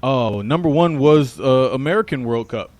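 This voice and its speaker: A man's voice: mid-range voice, animated, normal speed, a little mellow. A man co-hosting a comedy podcast in a laid-back, blunt, casual way, swearing freely and laughing often. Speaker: podcast co-host.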